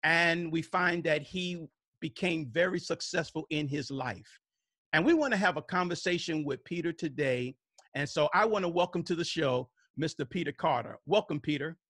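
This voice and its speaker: Male podcast host, pretty high voice, dry close sound, lots of ums. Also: moderate pace, a bit bright, dry recording. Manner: welcoming, frequent fillers. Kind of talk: interview conversation.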